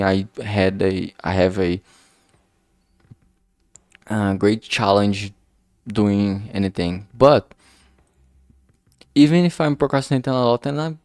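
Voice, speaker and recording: A man talking casually and haltingly in a rambling vlog, informal and self-deprecating, with some swearing. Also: on the low side, a little mellow, dry recording, clean studio sound. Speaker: indie visual novel creator and vlog host. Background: background music.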